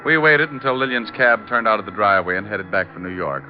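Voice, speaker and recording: Wisecracking low-voiced American private eye played by an actor. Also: male voice, quick pace, natural voice. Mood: brisk narrating. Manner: glib, sardonic, teasing. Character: wisecracking, teasing male private detective, narrating his case. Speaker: private detective and narrator. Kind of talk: 1940s-50s radio crime drama acting. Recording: dull old radio recording, music behind.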